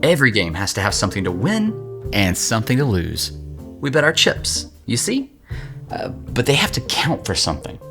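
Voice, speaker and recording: A man playing a cocky young card sharp in an audio drama, explaining things in a coaxing, animated way. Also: mid-range voice, moderate pace, soft background music, heavily acted. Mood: sly, coaxing.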